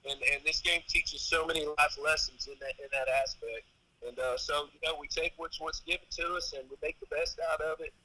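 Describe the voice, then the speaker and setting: A man, a high school football coach, giving a live interview in a halting manner with repeated phrases. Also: steady tone, bright, forceful tone, rough recording. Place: on a bus, calling in remotely.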